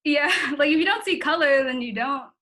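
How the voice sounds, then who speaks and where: A woman's voice: sits high, normal speed, pushed and bright. Young American woman, candid and casual. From born in the Philippines, grew up in the United States. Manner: informal youthful talk, heavy use of "like", self-reflective. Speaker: interviewee in a family conversation.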